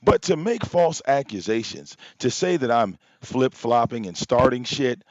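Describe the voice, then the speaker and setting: Guy ranting live on his stream, loud voice, pitch jumping everywhere, kinda muffled dry audio, mic popping. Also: sing-song, a little slow, no echo. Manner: argumentative, fires rhetorical questions, profane. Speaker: livestream host. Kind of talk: confrontational live-stream rant. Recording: dull, slightly lo-fi, dry sound.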